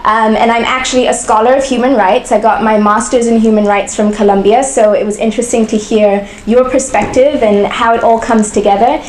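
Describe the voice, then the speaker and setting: A young woman from Zambia talks in a friendly, easygoing way, with a fairly high voice. She drops in plenty of ums. The room is echoey, with a faint hiss underneath. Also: fairly even intonation, a little fast, few pauses, a little mellow. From southern Africa, Zambia. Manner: friendly, conversational, with frequent ums. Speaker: guest speaker for a nonprofit. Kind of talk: informal presentation to a congregation class. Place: synagogue class room.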